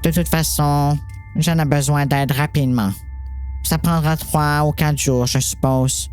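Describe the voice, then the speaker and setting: Woman playing patient doctor lady, kinda low voice, explains things calmly, soft music under it. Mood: calm, reassuring. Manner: reassuring, measured, explanatory. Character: calm, patient doctor coaching a troubled girl. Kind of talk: amateur audio drama reading.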